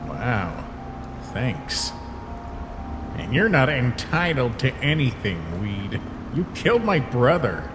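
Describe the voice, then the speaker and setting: A man's voice: mid-range voice, big pitch swings, slow, noisy background. A man voicing a laid-back, guarded skeleton big brother in a fan comic dub, with a casual drawl. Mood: wounded, stern.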